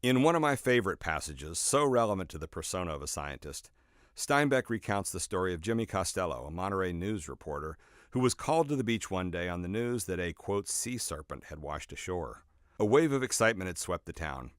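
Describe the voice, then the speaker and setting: A man reading his own nonfiction book as scripted narration, in a measured, expressive storytelling way with emphatic phrasing. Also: sits low, no echo, clean studio sound. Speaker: author reading own audiobook.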